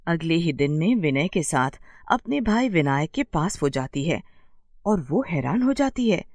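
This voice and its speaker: Expressive woman narrating a bedtime story. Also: sits low, measured pace, no echo, clean studio sound.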